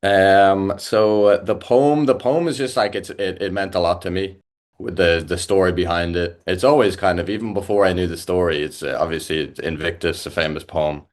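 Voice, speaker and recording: A young Irish man, a musician guest, chatting casually in an interview with lots of fillers and restarts. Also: low-pitched. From Ireland. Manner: halting, many fillers and restarts.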